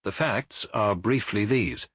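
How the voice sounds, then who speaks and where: A man voicing a grave, imperious Bohemian king in disguise for an audiobook, speaking formally and deliberately. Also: on the low side, fairly bright tone, breathy edge, dry recording, heavily acted. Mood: grave, explaining. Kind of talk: audiobook character voice.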